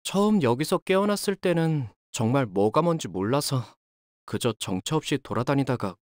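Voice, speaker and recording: A man's voice: mid-range voice, animated, normal speed, no room sound, clean studio sound, lightly acted. A male actor playing an earnest, modest young boat repairman in a video game, with a boyish, polite and slightly hesitant manner. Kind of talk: video game character voice lines.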